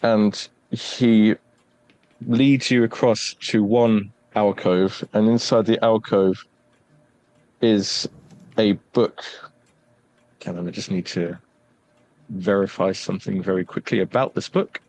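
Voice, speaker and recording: A man narrating a tabletop horror game as game master, deliberate and pausing for suspense. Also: lowish voice, fairly even intonation, a little mellow, rough recording.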